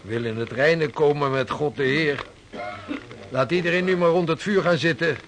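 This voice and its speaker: A man acts a devout, authoritative seafaring leader in a radio drama. His voice sits high for a man. He addresses his men with solemn, deliberate command. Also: fairly bright tone, slight background noise, close to an everyday voice. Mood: grave. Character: pious, authoritative leader of stranded Dutch sailors.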